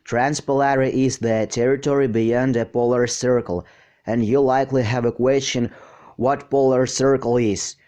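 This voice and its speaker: A man talks slowly and haltingly in English, which is not his first language, as he explains a science topic. His voice sits at an ordinary middle pitch. The recording is close and dry. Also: steady tone, warm tone, no room sound. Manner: slow, hesitant, with frequent fillers. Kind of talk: unscripted educational explanation.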